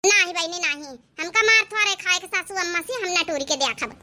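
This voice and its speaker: A woman's voice: very high-pitched, a little fast, sharp tone, slight background noise, heavily acted. A woman with an Awadhi accent voicing a timid, cautious eldest daughter-in-law in a village comedy cartoon. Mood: cautious, reluctant. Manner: hesitant, cautious.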